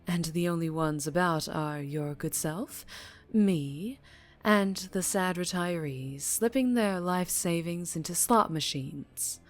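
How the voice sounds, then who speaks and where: A woman playing a dangerously charming, teasing mafia boss in an ASMR roleplay, speaking deliberately and politely with veiled menace. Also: low-pitched.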